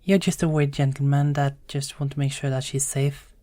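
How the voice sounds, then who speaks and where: Kind bedside nurse for audio drama, female actor: lowish pitch, soothing, courteous, dry close sound. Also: steady tone, normal speed, no room sound. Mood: reassuring. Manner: gentle, polite, soothing. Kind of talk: audio roleplay acting.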